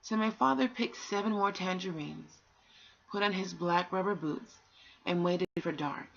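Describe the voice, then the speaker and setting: A dull, lo-fi home recording of a woman reading a children's bedtime story aloud. Her voice sits a little low and is husky. She takes her time, breaking the narration into short, measured phrases. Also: fairly bright tone. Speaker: amateur bedtime story reader.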